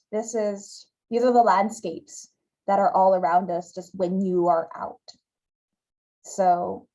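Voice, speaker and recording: Mellow-voiced woman from Hawaii giving a talk. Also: mid-range voice, low-quality recording. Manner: conversational, with fillers and repeats. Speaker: guest speaker giving a talk. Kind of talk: lecture over slides.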